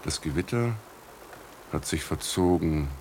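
An actor playing a contemplative writer narrating his own cat story in a radio drama, measured, musing and wry. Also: extremely low pitch, expressive, a little mellow, full character voice. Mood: wry, reflective. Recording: typewriter clicks and noise behind the voice.

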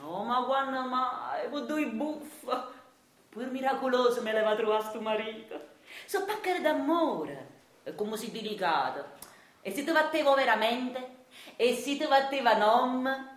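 A woman's voice: a little high, expressive, a little slow, reverberant. An actress playing a fierce, domineering washerwoman matriarch with a Neapolitan accent, brash and mocking in a theatrical radio drama monologue. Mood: mocking, scolding. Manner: brash, scolding, mocking, breaking into laughter.

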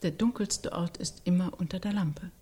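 A woman's voice: fairly low pitch, moderate pace, warm tone, slightly rough. A woman playing a righteous intellectual art critic in a radio play, articulate, insistent and rhetorical. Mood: cool, superior. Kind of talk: radio play panel discussion.

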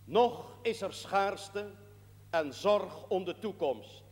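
Echoing, high-pitched solemn priest. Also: male voice, animated. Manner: solemn, measured, declamatory. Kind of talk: sermon in a period television drama. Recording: echoing church-like hall, long reverb.

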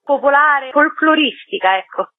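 A woman's voice: high-pitched, expressive, moderate pace, no room sound. Chatty woman on a tinny phone line. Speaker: author interviewed about their book of short stories. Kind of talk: casual phone interview. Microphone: telephone. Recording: tinny telephone line on radio.

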